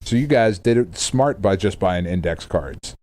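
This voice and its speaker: A middle-aged American man with a fairly low voice co-hosts a podcast. He talks casually and at length, rambling through asides, jokes and swearing. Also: animated, normal speed, darkish tone. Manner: rambling, jokey, profane. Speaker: podcast co-host. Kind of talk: casual banter.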